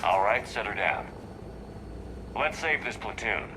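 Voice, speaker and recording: A man's voice: lowish voice, lively intonation, a little slow. A man playing a resolute human military commander in a video game, speaking in a terse, commanding way. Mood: commanding, focused. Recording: game vehicle noise behind voice.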